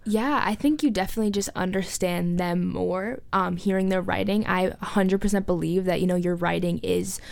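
A woman's voice: lowish voice, moderate pace, creaky, hardly any pauses, no room sound. A teenage girl speaking earnestly and reflectively as an interview guest, often tagging her points with "right?". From United States. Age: teens. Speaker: youth poet laureate guest. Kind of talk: casual interview talk.

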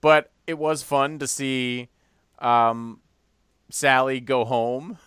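Wry man with a smooth, clear voice. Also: medium pitch, fairly even intonation, slow, no echo. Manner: wry, dry humor, trailing off quietly. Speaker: podcast co-host. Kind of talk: casual chat.

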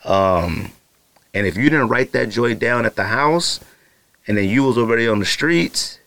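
Animated man hyping up his listeners. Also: mid-range voice, normal speed, creaky, no echo. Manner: hyped, teasing direct address with lots of fillers. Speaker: podcast host. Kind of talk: solo casual podcast talk. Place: home studio.

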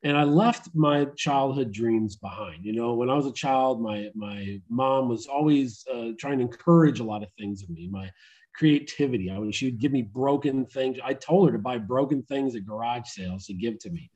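A man's voice: mid-range voice, moderate pace, darkish tone, lo-fi sound. A man in his fifties with an American accent, chatting casually as an interview guest. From upstate New York, United States. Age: 50s. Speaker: podcast guest, entrepreneur.

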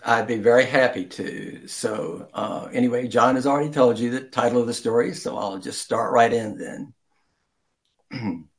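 A man reading a children's story aloud as an amateur storyteller, deliberately, with some stumbles and throat-clearing. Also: mid-range voice.

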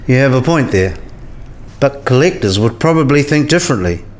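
A man's voice: mid-range voice, animated, moderate pace, slight background noise, lightly acted. A man playing a learned, philosophical professor and patient mentor in a scripted radio play, with a professorial way of talking. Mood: pondering.

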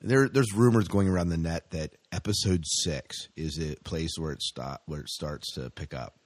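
A man co-hosting a casual podcast chat about science fiction, halting and repeating his phrases as he steers the talk. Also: a bass voice. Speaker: podcast co-host.

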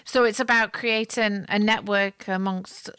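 A woman's voice: mid-range voice, steady tone, unhurried, fairly bright tone, clean tone, no room sound. A woman interviewer asking conversational questions, attentively prompting and rephrasing what she hears.

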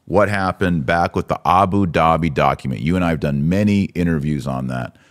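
A man's voice: very deep voice, brisk. A man hosting a podcast, giving religious commentary in a casual conversational style with an emphatic, indignant manner. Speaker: podcast host.

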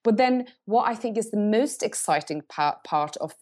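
A middle-aged woman speaking English, answering interview questions thoughtfully and often pausing to rephrase. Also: mid-range voice, animated, darkish tone. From Norway. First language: Norwegian. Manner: thoughtful, pauses to search for words and rephrases. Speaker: agency CEO, guest.

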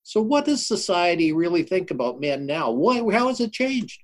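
An older man hosting a show, introducing and interviewing his guest with emphatic, deliberate phrasing. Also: sits high, sing-song. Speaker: show host.

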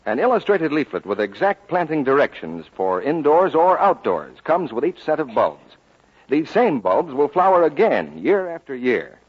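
A man reading a 1940s radio advertisement as a formal, measured announcer with a slightly husky voice. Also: on the high side, big pitch swings. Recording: dull old 1940s radio recording.

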